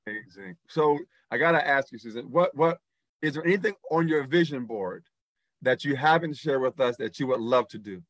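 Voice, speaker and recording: A man's voice: mid-range voice, expressive, a little fast, no room sound. A middle-aged man hosting an interview over a video call, effusive and laughing, repeating his praise. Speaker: talk show host. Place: indoors in front of a backdrop.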